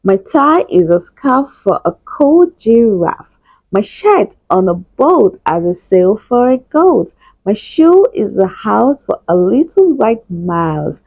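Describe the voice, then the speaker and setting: A woman's voice: medium pitch, darkish tone, no room sound, muffled recording, full character voice. A woman voicing a kind, cheerful male giant from a storybook, with an encouraging, sing-song manner.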